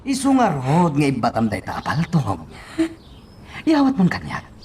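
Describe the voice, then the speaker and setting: A man's voice: mid-range voice, wildly swooping pitch, moderate pace, darkish tone, slight background noise. A man playing a manipulative crime boss in a melodramatic radio drama, menacing and moving between coaxing and threats. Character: menacing, manipulative male crime boss scheming against a woman.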